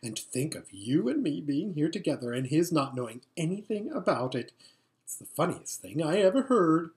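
A woman's voice: deep voice, very animated, moderate pace, darkish tone. A woman voicing a vain, lazy, giggly young noblewoman in a storybook, with an affected drawl and gushing manner.

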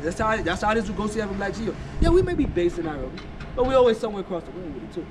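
A middle-aged American man with a high voice talks at a breakneck, emphatic pace, repeating himself for effect. He is recorded outdoors on a sunny rooftop, with clear city traffic noise behind him. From United States. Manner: fast, emphatic, repetitive, animated. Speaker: guest vlogger. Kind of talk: casual chat.

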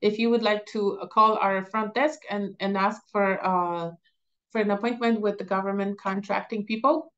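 A woman's voice: medium pitch, fairly even intonation, a bit bright, lo-fi sound. Polish-accented woman on a video call. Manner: halting, many fillers and restarts. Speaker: business advisor answering questions. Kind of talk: webinar Q&A.

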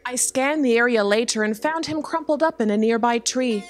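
A woman's voice: fairly high pitch, moderate pace, close to an everyday voice. A woman playing a self-aware female spaceship computer on stage, theatrical and desperate, in formal wording. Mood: regretful. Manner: formal, uncontracted, theatrical speech. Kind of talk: staged audio drama acting. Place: theatre stage before an audience. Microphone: stand microphone.

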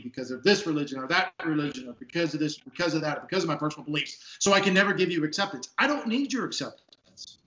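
A man in his fifties from Texas, a police sergeant, speaking as an interview guest in earnest, emphatic talk with a big booming voice, recorded on a video call from an office. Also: pushed and bright. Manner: emphatic, repeats words when stressing a point. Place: office at a desk. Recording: echoey, dull video call audio.

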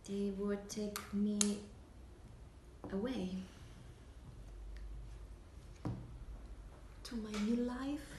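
A woman's voice: a little high, voice pushed up. A young woman with an Italian accent playing a wistful, hesitant dreamer remembering lost hopes in a dramatic monologue. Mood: fragile, sad. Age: young adult. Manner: slow, hesitant, inward. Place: small indoor room, seated at table. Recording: echoey room, faint hiss.

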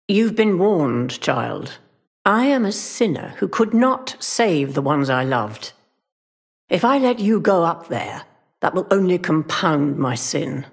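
An actress playing a sorrowful, guilt-ridden older woman guarding the way in a video game, speaking gravely and solemnly in a husky, gravelly voice. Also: sits low, heavily acted. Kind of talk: video game character acting.

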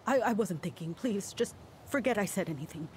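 Actress as defensive middle-aged townswoman, noisy rumbling backdrop. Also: animated, brisk, a little mellow, close to an everyday voice. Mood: flustered, regretful. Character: guilt-ridden, judgmental middle-aged townswoman, defensive and self-righteous. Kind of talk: naturalistic video game drama acting.

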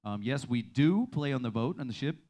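A man from the United States answering a host's questions on a small venue stage, talking in a relaxed, good-humored way through a handheld mic. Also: medium pitch, fairly quick. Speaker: band leader.

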